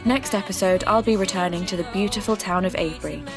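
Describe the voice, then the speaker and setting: A woman playing a reflective podcast host, reading scripted narration in a measured, confiding way. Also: mid-range voice, close to an everyday voice. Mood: friendly, measured. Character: reflective female podcast host investigating folklore mysteries. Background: music.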